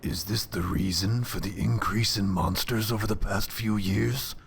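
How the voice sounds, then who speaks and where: Man voicing a gravelly wise beast companion. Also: lowish voice, fairly even intonation, moderate pace, exaggerated voice. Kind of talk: video game voice acting.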